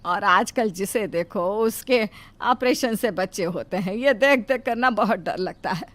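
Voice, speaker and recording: A woman's voice: mid-range voice, unhurried, a bit bright, pitched below the performer's own voice, natural voice. A woman voicing a worried, nagging mother-in-law who scolds and frets protectively in a cartoon moral story. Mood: resigned, soft. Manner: scolding, exclaiming, fussing.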